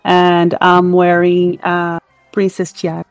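Woman, twenties, halting word search, dry sound, faint music. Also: on the low side, completely flat delivery, dry recording. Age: 20s. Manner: hesitant, searching for words. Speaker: player.

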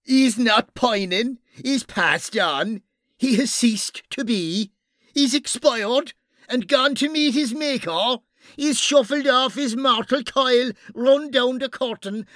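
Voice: sits high, a bit bright, dry recording, clean studio sound, pitched above the performer's own voice. A performer voicing a brash, conniving and sardonic policeman in an audiobook, with a theatrical, commanding manner. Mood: exasperated, theatrical.

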